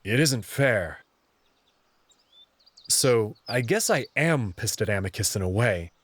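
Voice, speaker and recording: A man reading a visual novel's first-person narration aloud, with an earnest, expressive storytelling delivery. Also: fairly low pitch, slow pace, slight background noise.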